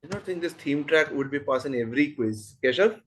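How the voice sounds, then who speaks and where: A man's voice: a little high, animated, fast talker, a bit bright, husky. Indian man hosting a quiz. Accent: Indian English. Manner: reads questions steadily, with chatty asides between answers. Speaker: quizmaster. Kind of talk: reading out quiz questions in an online quiz league.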